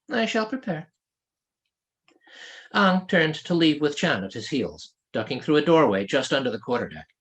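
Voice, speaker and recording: A man narrates a fantasy story as its author. His voice is a little high for a man and moves widely in pitch, giving the scripted prose an expressive shape. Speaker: author reading his own novel.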